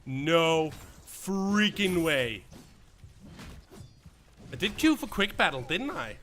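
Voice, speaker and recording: A man's voice: fairly high pitch, sing-song, slow, fairly bright tone, a little hiss or hum. A young man with a Danish accent, whose first language is Danish, streaming a game and chatting with viewers in a rambling way with many fillers and restarts. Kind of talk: live gameplay commentary, chatting with viewers.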